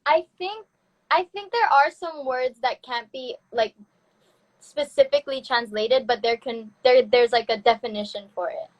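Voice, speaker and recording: A woman's voice: high-pitched, unhurried. A young woman who grew up in Canada, chatting casually as host of a phone live stream and laughing between words. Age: young adult. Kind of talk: casual chat. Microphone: phone on a video live stream.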